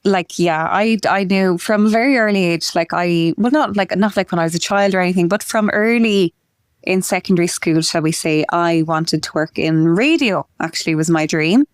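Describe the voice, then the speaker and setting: An Irish woman in her late twenties, a guest in an interview, talking in a chatty way full of "like" and quick asides. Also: on the low side, smooth, no echo, clean studio sound. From Ireland. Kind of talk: conversational interview.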